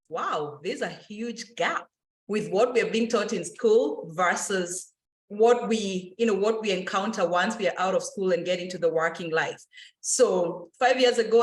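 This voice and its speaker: Woman, financial educator, lively webinar talk, roomy echo. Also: mid-range voice. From Kenya. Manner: energetic, speeds up through lists, many fillers. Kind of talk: casual enthusiastic presentation.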